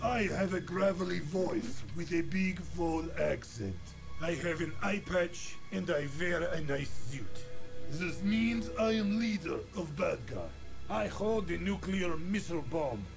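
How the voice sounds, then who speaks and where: An actor playing a deadpan game villain boss with a gravelly, thickly accented voice and stilted English. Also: male voice, high voice, unhurried, exaggerated voice. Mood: deadpan menacing. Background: game music.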